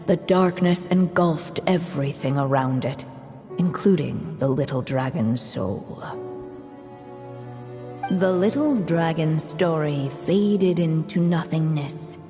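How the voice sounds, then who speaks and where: A woman narrating a storybook tale in a game cutscene, slow, solemn and measured. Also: sits low, mellow. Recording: long hall reverb, faint music.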